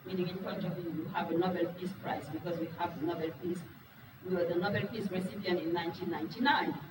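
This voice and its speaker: A woman's voice: fairly low pitch, fairly even intonation, fast talker. Woman. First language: Wolof. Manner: frank and earnest, asks the audience questions. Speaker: speaker at a business talk. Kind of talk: presentation to a live audience. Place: meeting room with an audience. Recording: distant, echoey room recording with noise.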